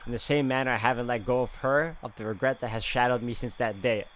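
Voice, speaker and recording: A man's voice: medium pitch, fairly even intonation, fast, a bit bright, a little hiss or hum. A man playing through a visual novel, reading its narration aloud dramatically and adding casual asides. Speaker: game walkthrough player.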